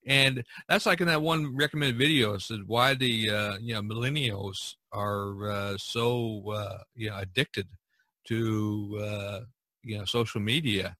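Bright-voiced older man chatting on a podcast. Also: on the low side, fairly even intonation, a little slow, dry recording. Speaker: podcast host. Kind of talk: casual conversational discussion.

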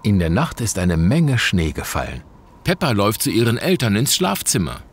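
A man's voice: lowish voice, big pitch swings, normal speed, heavy background noise. A man narrating a children's audio play from a script.

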